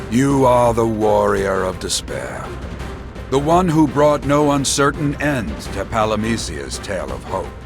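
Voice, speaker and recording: An actor playing a wise, self-deprecating old former hero in a video game, speaking in formal, archaic, measured diction. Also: male voice, fairly low pitch. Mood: grave, measured. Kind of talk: scripted video game acting. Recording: music behind the voice.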